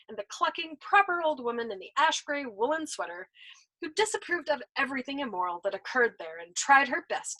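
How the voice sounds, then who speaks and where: A woman reading a novel aloud as a storyteller, expressive, with small slips and self-corrections. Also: on the high side, poor audio.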